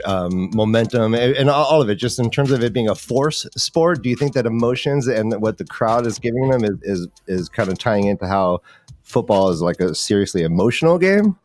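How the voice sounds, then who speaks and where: A man's voice: medium pitch, a little mellow, a little hiss or hum. A man hosting a fantasy football livestream, chatting casually about sports.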